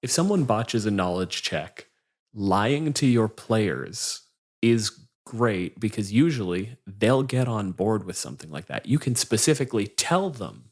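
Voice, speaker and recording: A man co-hosting a casual podcast, joking dryly and swearing now and then. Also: on the low side. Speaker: podcast co-host.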